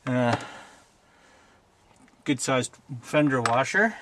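A man with a Canadian accent giving a casual, unscripted hands-on tutorial in a plainspoken, halting way. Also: medium pitch, animated, a bit bright, slight background noise. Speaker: DIY video presenter.

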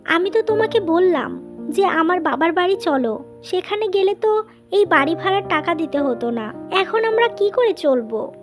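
A woman's voice: very high-pitched, lively intonation, moderate pace, over music. A woman voicing a plaintive yet trusting young wife in a scripted cartoon.

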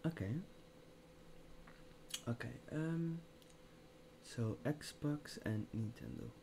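A young man plays a store clerk in a soft-spoken ASMR roleplay. He talks very slowly and quietly, in short phrases with pauses. The recording has clear background noise. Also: medium pitch, expressive. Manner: slow, hushed, with pauses. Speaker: ASMR creator playing a game store clerk. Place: small room at a desk, indoors.

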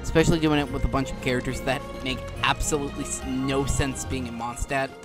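A man giving casual, rambling commentary on a game playthrough, halting and often rephrasing himself. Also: medium pitch, fairly even intonation. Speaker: gaming video creator. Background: game music.

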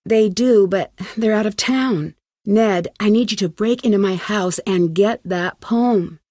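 A woman's voice: mid-range voice, unhurried, dark tone, dry recording, clean studio sound, close to an everyday voice. An actress playing an earnest, determined young female amateur detective in conversational video game dialogue. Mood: intent, urgent. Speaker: lead character in a detective adventure game.

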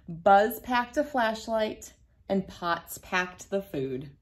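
A woman in her thirties reading a picture book aloud to children, gentle and playful, laughing now and then, recorded on a phone camera indoors. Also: medium pitch, lively intonation, extremely slow. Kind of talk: read-aloud storytime for kids. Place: bright room indoors.

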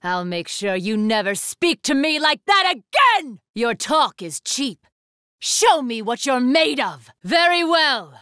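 A woman's voice: high voice, big pitch swings, takes it slowly, bright, forceful tone, smooth, dry recording, studio quality, heavily acted. An imperious, fierce young warrior woman. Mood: haughty challenge. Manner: commanding, haughty, formal. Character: imperious young female warrior student leader, proud, fierce. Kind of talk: anime fighting game acting.

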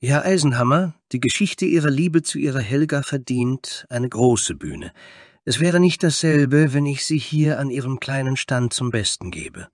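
Tiefling bard played by a man: theatrical charmer, florid delivery, mid-range pitch, close dry sound. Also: expressive, moderate pace, no echo, close to an everyday voice. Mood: charming. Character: flamboyant, smooth-talking male tiefling bard. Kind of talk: fantasy audio story character.

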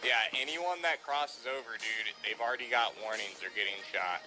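A young man playing a roleplay shooter game, giving quick orders and calling everyone dude. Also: mid-range voice, sharp tone, poor audio. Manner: bossy, gives quick orders, says dude a lot. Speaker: gamer streaming roleplay. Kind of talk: casual game chat. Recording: music playing behind.

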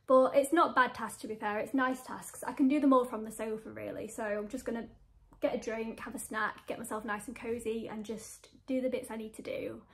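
A young woman from the UK chatting casually to camera about her week in her flat, upbeat and laughing often. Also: fairly high pitch. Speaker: vlogger. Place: small flat living room and kitchen, indoors.

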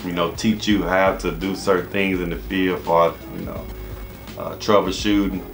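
A man's voice: low voice, level tone, normal speed, gravelly, over music. An American man in his thirties giving casual, rambling advice to camera in an informal, repetitive way. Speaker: HVAC business coach and channel host. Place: small indoor office.

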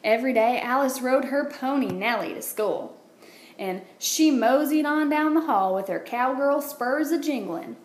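A woman reads a picture book aloud as a teacher would to children. Her voice sits a bit high and her phrasing is expressive and deliberate. The room echoes, with faint noise behind her. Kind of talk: read-aloud storytelling for children. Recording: roomy echo, light background noise.

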